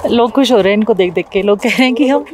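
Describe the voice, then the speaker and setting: A woman in her thirties from India talks in a somewhat high voice. She narrates a trip informally to her viewers, with a little ambient noise behind her. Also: quick pace, a little mellow. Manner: chatty, informal, addressing viewers. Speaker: travel vlogger. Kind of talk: casual vlog chat, Hindi with some English. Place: outdoors on mountain walkways and inside a car.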